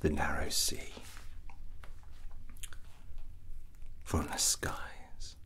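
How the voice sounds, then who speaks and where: A man playing a brooding, ambitious dragon-riding prince in a whispered ASMR roleplay, speaking breathily in deliberate, drawn-out phrases. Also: pushed and bright. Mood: dark, boastful.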